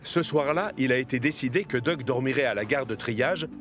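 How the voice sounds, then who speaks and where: Male narrator, children's storytelling, scripted, mid-range voice, clear musical backing. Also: mid-range voice, fairly quick. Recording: music behind the voice.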